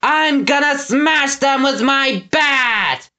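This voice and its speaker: A man voicing a brutish, slow-witted, violent storybook thug who bellows bluntly. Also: very high-pitched, sharp tone, voice pushed up, exaggerated voice. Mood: violent, bellowing.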